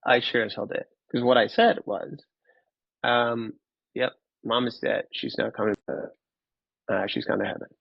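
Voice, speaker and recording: A man, telling his personal story in an interview, candid and halting, often repeating words. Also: medium pitch, steady tone, vocal fry, dry recording, muffled recording. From Finland. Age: 30s. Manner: candid, casually profane. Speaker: podcast guest.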